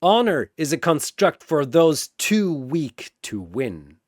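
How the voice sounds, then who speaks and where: A man's voice: on the high side, big pitch swings, slow pace, dry recording, studio quality, heavily acted. A man voicing an arrogant, cold swordsman villain with haughty contempt, in a fantasy battle story. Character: arrogant, cold one-winged swordsman villain, easily enraged.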